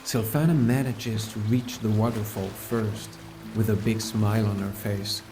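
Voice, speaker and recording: A man playing a calm, caring fantasy adventurer and mentor, narrating his journey in a measured, earnest first person for an audiobook. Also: on the low side, music in the background, lightly acted. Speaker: narrator and lead character.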